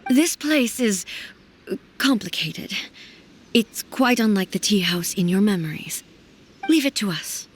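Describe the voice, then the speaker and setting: An actress playing a dignified, reserved ruler deity in a video game, speaking in a measured, gently formal way. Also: female voice, medium pitch, animated, slightly rough. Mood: reassuring.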